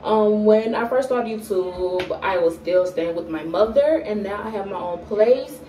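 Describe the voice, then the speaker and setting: A young woman in her early twenties talks slowly and casually to her camera, filling pauses with plenty of ums and stumbling on a word now and then. Her voice is mellow and relaxed. It sounds recorded in a small echoey room, with the camera set a little away from her. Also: mid-range voice, far from the mic, slight background noise. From Atlanta, Georgia. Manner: unhurried, with frequent fillers and pauses; stutters at times. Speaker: vlogger. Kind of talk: casual get-to-know-me chat to camera. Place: small indoor room at home. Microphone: camera in front at arm's length.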